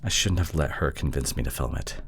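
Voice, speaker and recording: A man playing an apologetic, insecure boyfriend in an intimate audio drama, speaking haltingly with sighs and breathy murmurs. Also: extremely low pitch, slight background noise. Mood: tender, longing. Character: apologetic, pleading long-distance boyfriend, insecure young man. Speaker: audio roleplay voice actor.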